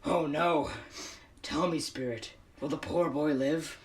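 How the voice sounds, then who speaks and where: A man's voice: a little high, fairly even intonation, slow, roomy sound. A man playing an anxious, put-upon miser in a Christmas radio play, with an uneasy, questioning manner. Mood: uneasy, pleading.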